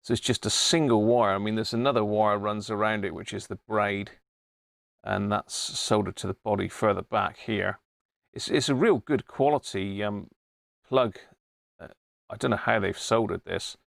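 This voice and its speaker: A man's voice: on the low side, fairly even intonation, moderate pace, vocal fry, no room sound, clean studio sound. A middle-aged man with an English accent chatting informally on a live stream, rambling and thinking aloud as he demonstrates things. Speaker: live stream host of a radio hobby channel. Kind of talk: casual live chat with demonstration. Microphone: wireless body-pack microphone.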